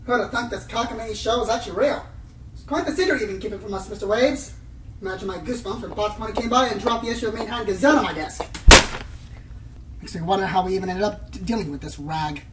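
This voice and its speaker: A woman's voice: medium pitch, quick pace, very noisy, natural voice. A woman playing a brash, self-important, condescending pony reporter in a radio play. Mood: cocky, condescending. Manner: cocky, condescending. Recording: roomy distant sound with faint music.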